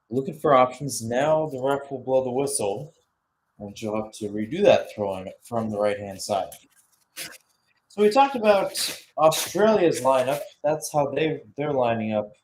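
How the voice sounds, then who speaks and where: Male sports commentator for live football radio call; mid-pitched, halting in places, self-correcting, surging with the play. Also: expressive, low-quality recording.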